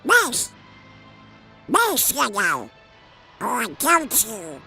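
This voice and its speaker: A performer voicing an excitable, easily flustered young duck in a cartoon comic dub, exclaiming and squawking. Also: mid-range voice, very slow, vocal fry, husky, faint music behind, exaggerated voice. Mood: puzzled, defensive.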